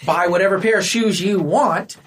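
A man's voice: high voice, normal speed, fairly bright tone, husky. A man chatting casually as he debates on a podcast.

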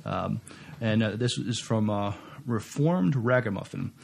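A man with a fairly low voice full of vocal fry co-hosts a podcast. His delivery is casual and understated, with a halting flow. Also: animated, slight background noise. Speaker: podcast co-host. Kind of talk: casual podcast chat, reading listener reviews.

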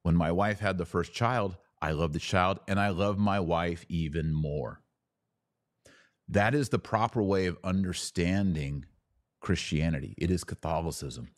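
A middle-aged man giving an informal theological explainer as a podcast host, speaking in an emphatic, didactic way with pauses on key phrases. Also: low-pitched, no room sound, studio quality.

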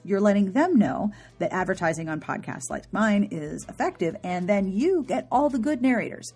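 Woman podcaster, kinda low husky voice, chatty and upbeat, reading intro and sponsor bits casually. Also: darkish tone. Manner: informal, enthusiastic, playful asides. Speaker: podcast host.

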